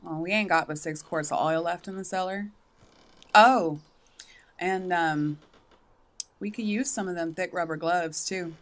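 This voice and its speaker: A woman's voice: lowish voice, a little slow, long pauses, natural voice. A woman playing a blunt, self-reliant backwoods daughter with a rural Southern US accent in a radio drama. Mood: matter-of-fact.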